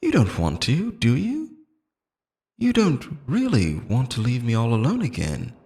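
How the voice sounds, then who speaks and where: A man's voice: mid-range voice, huge pitch swings, a little slow, dark tone. A man playing an obsessive, lonely immortal lover in an intimate, theatrical roleplay monologue, pleading and possessive, moving between tenderness and menace. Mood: coaxing, menacing.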